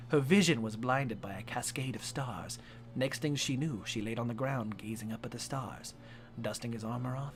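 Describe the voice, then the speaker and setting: A man's voice: mid-range voice, low-quality recording. Young man hamming up a story reading. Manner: exaggerated, swearing, laughing asides. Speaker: host reading a fan story aloud. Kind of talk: comic dramatic reading with asides. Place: home streaming setup.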